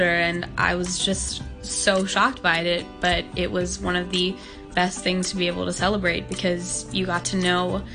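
A nineteen-year-old woman from Hong Kong, a podcast guest answering questions in an earnest, thoughtful way, with many "like" fillers. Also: fairly low pitch. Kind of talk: casual interview answers.

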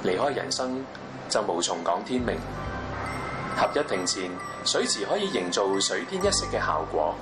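A man's voice: fairly low pitch, sing-song, normal speed, loud music behind the voice. A man with a Hong Kong Cantonese accent, reading a scripted guide narration.

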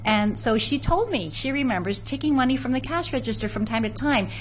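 A woman's voice: sits high, animated, fairly quick, a little hiss or hum. Earnest woman telling family stories. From San Francisco. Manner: earnest, storytelling, with frequent restarts. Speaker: interview guest, author researching family history. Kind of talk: conversational interview.

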